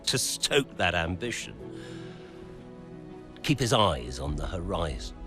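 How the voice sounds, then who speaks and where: A man playing a calculating, self-satisfied racing team executive in a game's interview scene, deliberate and smug, with thoughtful pauses. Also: deep voice, very animated, over music, heavily acted. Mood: smugly analytical. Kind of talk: documentary-style interview acting.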